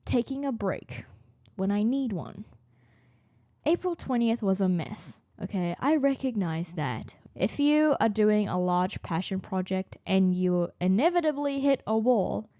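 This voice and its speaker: A woman's voice: on the high side, darkish tone, dry recording, clean studio sound. A woman narrating a video about her animation work in a casual, jokey, self-deprecating way. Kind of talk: casual scripted video commentary.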